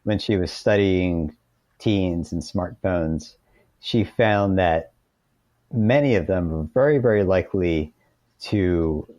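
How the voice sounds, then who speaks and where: A man's voice: deep voice, slow, mellow, no room sound. A man speaking as an interview guest, explaining things casually through informal anecdotes. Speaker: podcast guest, author.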